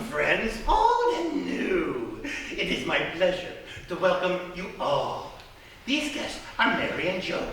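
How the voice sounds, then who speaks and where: Casting: theatrical host of the damned male actor, effusive, bright and high, live comic radio play, echoey hall. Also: slight background noise, full character voice. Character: effusive host of a monsters' dinner party. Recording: echoey theatre, far from mic.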